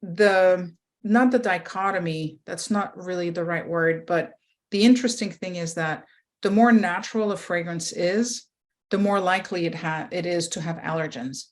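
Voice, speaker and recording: A woman's voice: on the low side, moderate pace. A woman guest from the fragrance and flavor industry, explaining things in casual conversation and often adding tag questions. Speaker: guest, former industry professional.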